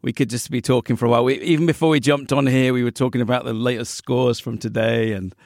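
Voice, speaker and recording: A man's voice: mid-range voice, steady tone, fast, darkish tone, no echo, clean studio sound. A man hosting a casual podcast interview, joking about himself as he goes. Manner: jokey, self-deprecating. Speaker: podcast host.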